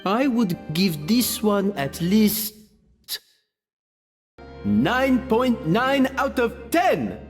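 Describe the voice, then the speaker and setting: Game casting: grand male food judge, slow and animated, formal, reverberant over music. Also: high-pitched, heavily acted. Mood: delighted. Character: theatrical, discerning man judging a cooking contest. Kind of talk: video game voice acting.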